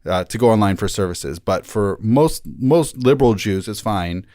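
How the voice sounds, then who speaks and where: Low, dry-sounding man explaining conversationally. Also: lively intonation, normal speed, dark tone, no room sound. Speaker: podcast guest, rabbi.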